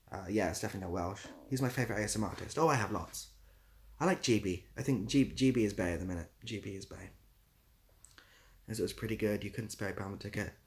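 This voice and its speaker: Low-voiced man chatting casually on a livestream. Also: a little fast, long pauses. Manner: rambling, informal, hesitant searching for words. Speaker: ASMR creator hosting a livestream; theatre assistant producer. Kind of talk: casual livestream chat, answering viewer comments.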